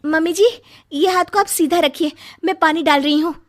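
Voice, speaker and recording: A woman voicing a meek, gentle young daughter-in-law in a cartoon story, timid and respectful. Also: extremely high pitch, moderate pace, pitched above the performer's own voice. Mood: caring.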